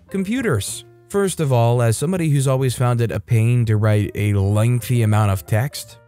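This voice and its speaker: A man reading out online stories as a narrator, in a steady, matter-of-fact way with light asides of his own. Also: medium pitch. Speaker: story narrator. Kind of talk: scripted narration of reader stories. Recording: faint background music.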